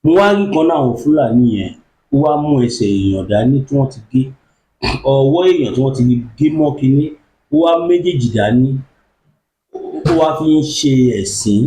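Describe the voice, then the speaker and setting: Animated, lively male host. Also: mid-range voice, quick pace, roomy sound, poor audio. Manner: animated, exclamatory, switching between Yoruba and English. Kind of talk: online commentary show.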